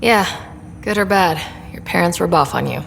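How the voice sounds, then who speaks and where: A woman's voice: fairly low pitch, moderate pace, gravelly, fairly bright tone, big-room sound, slight background noise. A wry young woman explorer. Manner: wry, dry humor. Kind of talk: video game voice acting.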